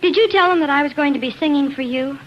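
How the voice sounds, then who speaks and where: An actress playing a heartfelt young singer and bride-to-be in a 1940s radio drama. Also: female voice, high-pitched, fast talker, close to an everyday voice. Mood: hurt, accusing. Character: heartfelt young woman singer, bride-to-be, quick to feel betrayed. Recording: muffled, hissy 1940s radio broadcast.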